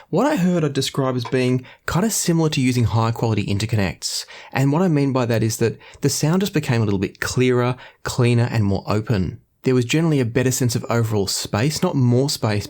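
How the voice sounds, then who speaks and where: A man hosting an audio review, explaining things in a measured, conversational way. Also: mid-range voice, darkish tone. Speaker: host of an audio review show. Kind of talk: scripted-sounding product review to camera.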